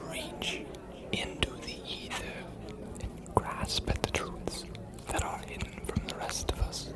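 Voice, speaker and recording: A performer playing a courtly, bookish ancient vampire mentor in an ASMR roleplay, speaking in a breathy whisper with a formal, confiding manner. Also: lightly acted. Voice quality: breathy whisper, almost no voicing. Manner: measured, formal, confiding, philosophical.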